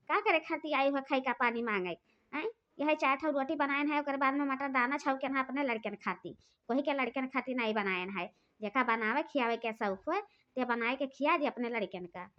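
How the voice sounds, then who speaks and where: A woman's voice: sits high, normal speed, natural voice. A woman voicing a bossy, quarrelsome elder sister-in-law, with an Awadhi accent, scolding and taunting. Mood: quarrelling. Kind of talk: cartoon voice acting. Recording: dull lo-fi recording.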